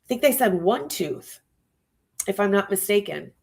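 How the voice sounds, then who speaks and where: A woman's voice: medium pitch, lively intonation, normal speed, gravelly. A woman hosting a true crime livestream, musing aloud to her viewers with a stuffy nose. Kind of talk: casual live-stream commentary.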